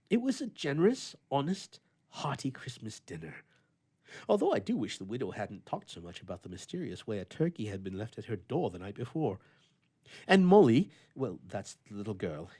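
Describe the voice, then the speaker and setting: A man playing a hearty old-fashioned bachelor gentleman recounting a story theatrically for a radio play. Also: medium pitch, husky. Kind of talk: dramatic reading for radio theatre.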